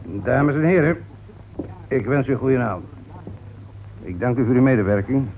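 Formal police inspector, tinny recording. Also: male voice, medium pitch, lively intonation, vocal fry. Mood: formal, courteous. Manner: formal, accusing, deliberate. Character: methodical, confident male police inspector closing a murder case. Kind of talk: radio detective drama acting. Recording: tinny, noisy old radio recording.

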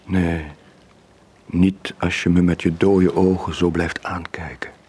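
An actor playing a haunted, brooding older former sailor in a Flemish radio drama, speaking in a husky, breathy voice. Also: male voice, low voice, flat delivery, takes it slowly, darkish tone, exaggerated voice. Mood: agitated. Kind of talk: 1980s radio drama acting.

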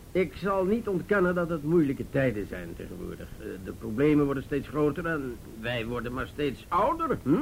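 A man's voice: medium pitch, rough recording. An actor playing an older, jovial and patronising male police chief in a radio drama, hesitant and avuncular. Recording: radio drama with faint background noise.

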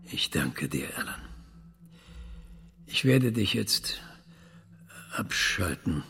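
Radio drama role: weary, wistful middle-aged trainer, low gravelly voice, slow delivery, long reverb. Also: male voice, big pitch swings, sharp tone, soft background music, exaggerated voice. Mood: resigned. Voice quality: husky, gravelly. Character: weary, wistful male trainer of a thinking computer.

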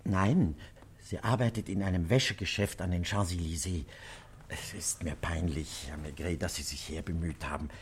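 An actor playing an anxious, ailing middle-aged father in a German radio play, hesitant, evasive and apologetic, with a husky, breathy voice. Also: sits low, moderate pace, slight background noise, close to an everyday voice. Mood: evasive, embarrassed.

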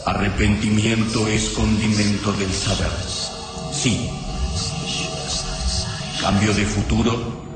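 Slow, echoing alien invader. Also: male voice, lowish voice, level tone. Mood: solemn. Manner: ritual inverted syntax, deliberate delivery. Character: male alien invader, solemn, haughty, rigidly obedient. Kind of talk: radio drama acting. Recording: very echoey, long reverb, music behind.

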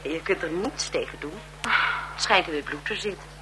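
A woman's voice: on the low side, brisk, fairly bright tone, close to an everyday voice, rough recording. An actress playing a gossipy, chatty female servant to a marquise in a radio drama. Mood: pitying, gossipy. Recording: 1970s radio, crowd noise behind.

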